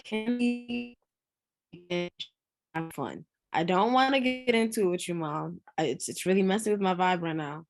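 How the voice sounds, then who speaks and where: A woman's voice: fairly low pitch, moderate pace, gravelly, faint music behind. A woman playing a busy grown daughter in a screenplay read, casual and naturalistic, teasing and defensive. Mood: exasperated. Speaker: actor in a script read. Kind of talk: live screenplay table read.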